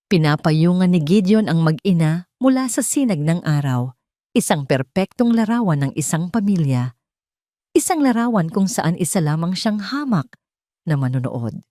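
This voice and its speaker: A Filipina woman narrates a scripted dramatic story. Her voice sits a little low and is soft-edged and mellow, recorded very dry with no room sound. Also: no room sound.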